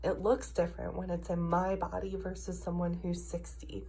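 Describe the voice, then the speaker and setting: A woman's voice: lowish voice, monotone, normal speed, vocal fry, a little mellow. An American woman in her early thirties, sick with a cough and sinus trouble, giving a frustrated, emphatic, casual personal rant. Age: early 30s. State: ill, with a cough and congested sinuses. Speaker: video diarist.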